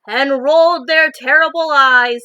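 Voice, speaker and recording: A woman reading a picture book aloud, dramatically stressing some phrases and hushing others. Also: high-pitched, fairly even intonation, unhurried, no room sound. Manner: dramatic, emphatic phrases alternating with hushed ones. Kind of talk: expressive children's read-aloud.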